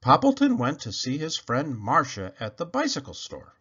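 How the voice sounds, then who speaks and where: A man narrating a children's storybook in an expressive read-aloud style. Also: mid-range voice, moderate pace, husky, highs cut off. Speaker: storybook reader.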